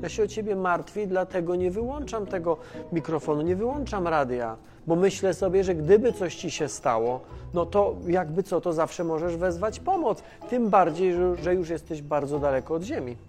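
A man's voice: high voice, expressive, moderate pace, darkish tone, soft background music. A man playing a caring, easygoing mission controller who chats informally in a children's educational audio drama. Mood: concerned. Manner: conversational, hesitant, informal. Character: Tomasz, caring, chatty, easygoing male mission controller on Earth.

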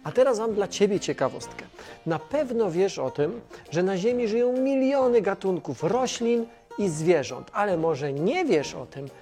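A woman's voice: mid-range voice, lively intonation, moderate pace, mellow, soft background music, natural voice. A performer voicing a curious, good-natured young man at a flight control center in a children's educational cartoon, easygoing and a little clueless. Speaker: flight control operator character.

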